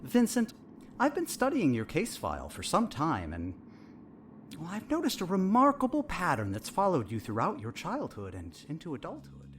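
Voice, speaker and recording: Swooping, condescending, menacing doctor. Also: male voice, on the high side, wildly swooping pitch, a bit bright. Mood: falsely pleased. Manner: measured, patronizing, falsely polite. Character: condescending, smoothly menacing doctor treating a captive patient. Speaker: voice actor in an audio drama. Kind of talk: audio drama acting.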